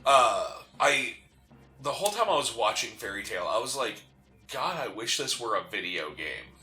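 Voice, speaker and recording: A man co-hosting a gaming show, talking casually in a halting way with many digressions. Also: mid-range voice, big pitch swings, bright, forceful tone. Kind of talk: casual chat during video game commentary. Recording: roomy echo, game music behind.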